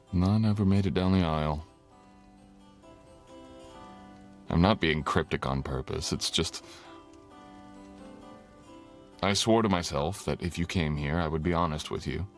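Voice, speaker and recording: A man's voice: deep voice, a bit bright. A man playing a courtly, teasing, tender dragon king in human form, speaking intimately as a lover in an ASMR roleplay. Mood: earnest, guarded. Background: music.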